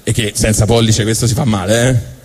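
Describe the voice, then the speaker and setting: A man from Tuscany with a Tuscan accent, performing a comic storytelling monologue in a halting, colloquial way, often interrupting himself. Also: on the low side, steady tone, a little mellow. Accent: Tuscan Italian. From Tuscany, Italy. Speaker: stand-up monologist.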